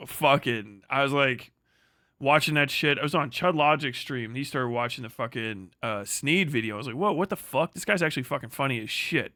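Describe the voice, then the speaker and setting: A man's voice: on the high side, pushed and bright, no room sound, studio quality. A man livestreaming a casual, rambling rant, full of profanity and slang, often stammering over repeated words. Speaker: live streamer, online commentator.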